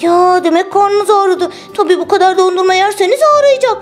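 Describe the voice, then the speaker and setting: A woman voicing a big, fatherly bear toy in a children's story, gently reproachful and admonishing. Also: extremely high pitch, brisk, faint music behind.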